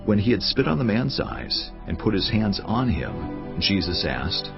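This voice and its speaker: An actor playing a grave Bible narrator, telling scripture in a measured, solemn dramatic style. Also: male voice, lowish voice, sing-song, creaky, exaggerated voice. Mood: solemn storytelling. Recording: dull sound under prominent music. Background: music.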